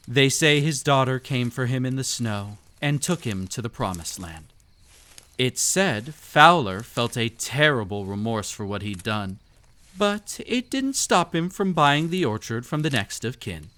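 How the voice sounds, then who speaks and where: Mysterious stranger played by a man hosting a haunted manor in roleplay audio: mid-pitched, very expressive, teasing, quietly sinister storyteller. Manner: teasing, long-winded storyteller, slow dramatic pauses. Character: mysterious teasing host of a haunted glass-filled estate, secretly sinister. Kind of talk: immersive roleplay audio, storytelling addressed to the listener.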